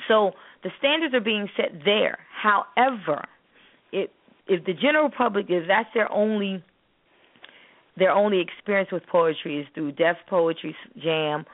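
A woman's voice: medium pitch, lively intonation, unhurried, no room sound. A woman speaking in an emphatic, instructive way in an opinionated talk show discussion, repeating phrases for stress. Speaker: co-host of a spoken word business show; spoken word artist. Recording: muffled narrowband phone-line sound.

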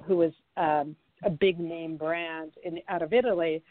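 Chatty woman interview guest, first language French, lowish voice, phone-quality audio. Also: steady tone, measured pace, dry recording. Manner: chatty, laughs easily, many fillers. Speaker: podcast guest, fashion founder. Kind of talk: conversational interview.

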